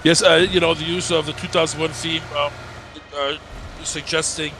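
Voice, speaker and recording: A man's voice: fairly high pitch, normal speed. Stuffy-nosed, nasal male esports caster. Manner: rambling and jokey, many fillers and restarts. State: sick with a stuffed nose. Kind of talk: live esports commentary with joking banter. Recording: steady game noise behind the voice.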